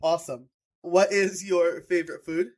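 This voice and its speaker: A man hosting a podcast, with a voice sitting a little high. He keeps it relaxed and upbeat, firing off short "awesome" and "very cool" replies between his questions. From New York. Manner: upbeat and encouraging, quick affirmations. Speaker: podcast host. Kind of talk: casual interview questions.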